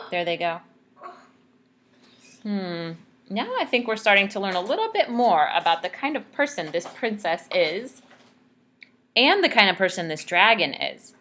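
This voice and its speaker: A woman reading a story aloud to children in a teacherly, expressive way, pausing to ask questions. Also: medium pitch. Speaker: speech therapist reading aloud.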